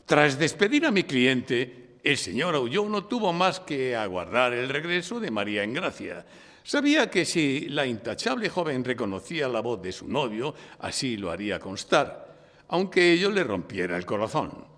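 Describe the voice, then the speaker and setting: Radio drama defence attorney: Spanish from Spain, declamatory, insistent, very varied intonation, live hall reverb. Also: male voice, medium pitch, very animated, normal speed, a bit bright. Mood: triumphant, oratorical. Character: theatrical male defence lawyer narrating his own courtroom case. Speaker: narrator and lead actor in an audio drama. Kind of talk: courtroom radio drama acting with first-person narration. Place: public library, before a live audience.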